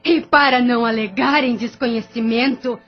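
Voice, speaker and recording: Vengeful female ghost, bitter and scheming, high voice, muffled lo-fi audio-drama sound; a woman acts it. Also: fairly even intonation, moderate pace, lightly acted. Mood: threatening. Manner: declamatory, venomous, threatening.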